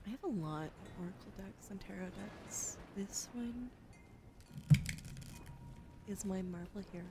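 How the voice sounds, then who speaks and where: Woman streamer whispering through a tarot reading, mid pitch, noisy background hiss. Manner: soft, often whispering, greets chat.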